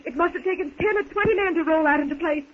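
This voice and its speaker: An anxious, genteel young heroine of a 1930s radio melodrama. Her high voice is theatrical and fretful, with hesitant repetitions. It comes through thin, tinny and faintly noisy. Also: fast. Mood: distraught. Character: Jane, anxious genteel young woman, breathlessly dependent.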